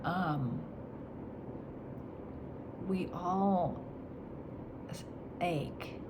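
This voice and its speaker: A woman talking in a reflective faith vlog, soft and halting, her voice nasal after crying. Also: on the low side. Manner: soft and halting, slipping into whispers. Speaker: vlogger. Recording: steady background noise.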